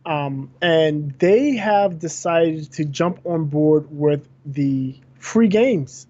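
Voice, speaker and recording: A man's voice: fairly high pitch, very slow, mellow, no room sound, lo-fi sound. A man co-hosting a gaming podcast, going through news items with frequent fillers and self-corrections. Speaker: podcast co-host.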